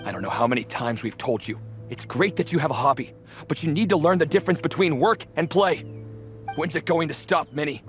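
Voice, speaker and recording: A man's voice: on the high side, expressive, fairly quick, lightly acted. Man acting a firm, worried father. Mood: angry, scolding. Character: worried, strict middle-aged father, polite to strangers. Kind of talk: English game dub cutscene acting. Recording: clear music behind the voice.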